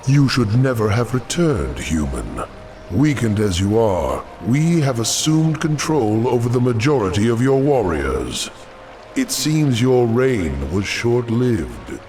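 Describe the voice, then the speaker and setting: A man's voice: mid-range voice, big pitch swings, slow, a little mellow, faint music behind. An actor playing a haughty, scheming demon lord in a fantasy game, grandiose and sinister in his delivery. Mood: gloating.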